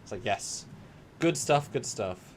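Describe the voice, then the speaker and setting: A man's voice: medium pitch, expressive, takes it slowly, slight background noise. A man co-hosting a casual live discussion over a video call, chatty and joking. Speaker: co-host. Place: home room with shelves.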